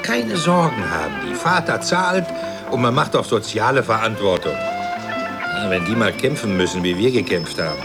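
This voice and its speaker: A pompous middle-aged man in a radio drama, smug and contemptuous. His lowish voice swings widely in pitch with condescension, over clear music. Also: sing-song, moderate pace. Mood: indignant. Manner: condescending, self-satisfied.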